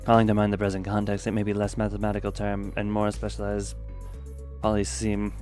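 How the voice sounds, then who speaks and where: A man voicing a solemn, bookish game scholar who speaks in measured, ornate, archaic phrases. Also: on the low side, completely flat delivery, darkish tone, faint music behind, voice pushed down, lightly acted. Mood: solemn, pondering.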